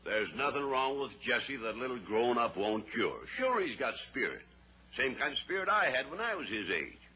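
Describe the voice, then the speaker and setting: An actor playing a blunt, stubborn rancher and father who talks gruffly and plainly in a 1950s radio drama. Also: medium pitch, sing-song, moderate pace, sharp tone. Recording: old radio recording with faint hiss.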